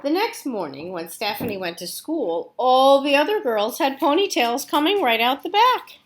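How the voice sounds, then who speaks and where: A woman's voice: sits high, sing-song, normal speed. A woman teacher reading a storybook aloud expressively, with casual asides spoken directly to young listeners.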